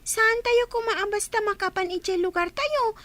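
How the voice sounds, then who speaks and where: A woman's voice: very high voice, moderate pace, pushed and bright, dry recording. A woman voicing a timid, whiny little child in an Ilocano radio drama. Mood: curious.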